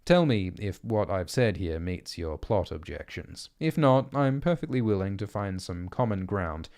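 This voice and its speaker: A man with a low-pitched voice reads prepared prose aloud in the style of an audiobook. His delivery is deliberate and measured, with carefully articulated phrases. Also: expressive, a little mellow. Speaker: audiobook reader. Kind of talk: scripted reading of essays and letters.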